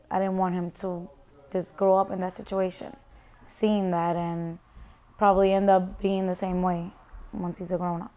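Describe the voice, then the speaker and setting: Young woman, flat and smooth voice. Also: medium pitch. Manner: quiet, halting, restarts. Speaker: shelter client. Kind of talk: personal interview testimony. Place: indoor home room. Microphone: lapel.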